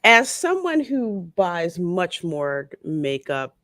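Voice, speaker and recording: A woman's voice: lowish voice, very animated, slow, a bit bright, no echo, studio quality. A woman chatting casually as a panelist on a livestream. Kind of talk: casual chat.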